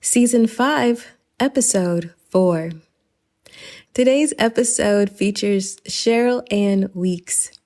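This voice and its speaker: A woman speaks as a podcast host at a middle pitch. Her delivery is informal and chatty, addressing listeners directly as she moves through announcements and introductions. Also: takes it slowly, darkish tone, faint music behind.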